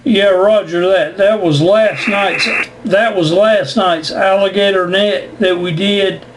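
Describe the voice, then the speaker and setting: A man's voice: high voice, steady tone, slow pace. A man explaining things in a casual amateur radio conversation, often repeating his words. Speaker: amateur radio operator recording contacts for YouTube. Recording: noisy amateur radio audio.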